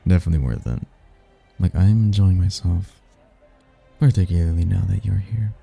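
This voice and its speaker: Casting: male actor as suave demon seducer for ASMR roleplay, very low gravelly voice, courtly and flirtatious, prideful. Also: normal speed, mellow, exaggerated voice. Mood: amused, confiding. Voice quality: husky, gravelly. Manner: smooth-talking, courtly, flirtatious. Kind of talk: ASMR roleplay, one-sided romantic conversation. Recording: music behind the voice.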